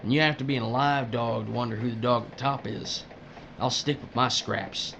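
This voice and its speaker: A man's voice: medium pitch, steady tone, fairly quick, gravelly, fairly bright tone, a little hiss or hum. A man playing a crude, cynical older worker who strips corpses, needling and folksy, in an audio drama. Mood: defensive, gruff.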